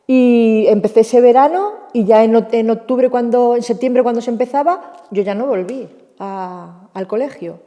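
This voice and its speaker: Woman in her 60s, ex-shoemaker, mellow tone, animated telling; echoey and lo-fi. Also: on the high side, moderate pace. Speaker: former shoemaker. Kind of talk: documentary interview testimony.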